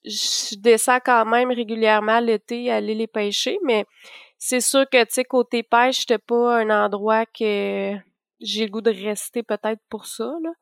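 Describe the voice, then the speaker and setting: A woman's voice: fairly high pitch, flat delivery, unhurried, vocal fry, no room sound, clean studio sound. A woman in her late twenties with a Quebec French accent, chatting casually as a guest in an interview. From Rivière-du-Loup, Quebec, Canada. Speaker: podcast guest, angler and hunter.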